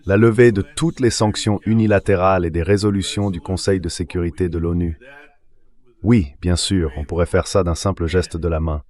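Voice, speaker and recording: Low-voiced synthetic male commentator. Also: steady tone, normal speed, a little mellow. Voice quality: AI-generated voice reading a translation. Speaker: political commentator interviewed as a guest. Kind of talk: translated voice-over of interview commentary.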